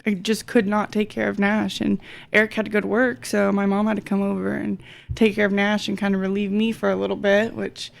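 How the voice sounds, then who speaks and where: A woman's voice: medium pitch, level tone, a little fast, creaky. A woman in her twenties chatting casually as a podcast guest. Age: 20s. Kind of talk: casual chat.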